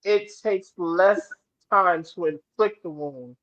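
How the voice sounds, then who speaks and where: Profane high-voiced New man. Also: slow pace, a bit bright, low-quality recording. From New Orleans, US. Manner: profane, emphatic, with restarts. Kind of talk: casual discussion.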